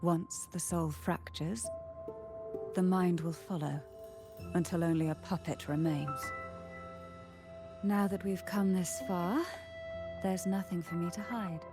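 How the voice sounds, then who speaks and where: An actress playing a poised, enigmatic noblewoman in a fantasy game, speaking in formal, measured phrases. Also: fairly low pitch, steady tone. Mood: solemn. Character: poised, cryptic noblewoman of a troubled family. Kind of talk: scripted fantasy game acting. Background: music.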